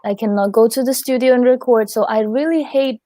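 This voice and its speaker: Laughing woman, higher voice. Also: brisk, warm tone, no room sound, studio quality. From Italy. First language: Italian. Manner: chatty, laughs often. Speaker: guest singer. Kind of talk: casual interview chat.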